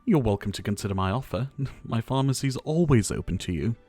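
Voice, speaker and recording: A man playing a gentle, ailing pharmacist in an ASMR roleplay, soft-spoken and courteous, with wistful, theatrical phrasing. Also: medium pitch, lively intonation, fairly quick, faint music behind, natural voice. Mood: warm, playful. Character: gentle, ailing male pharmacist, courteous, earnest, devoted healer. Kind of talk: ASMR roleplay addressed to the listener.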